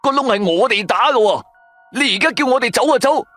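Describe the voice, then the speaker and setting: Man doing a high, whining Cantonese young sidekick. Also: fairly quick, dry recording, faint music behind. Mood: indignant. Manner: whining, animated, protesting. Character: indignant, mouthy young male sidekick, loyal but complaining. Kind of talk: Cantonese radio drama acting.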